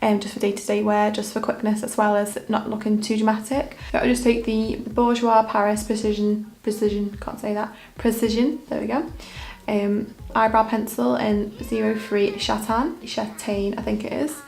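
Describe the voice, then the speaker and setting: A young woman makeup artist talking through a casual makeup tutorial in a hoarse, croaky voice, her delivery relaxed and monotone with plenty of fillers. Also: medium pitch, moderate pace, hardly any pauses. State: croaky, hoarse voice after illness. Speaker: makeup artist and beauty vlogger.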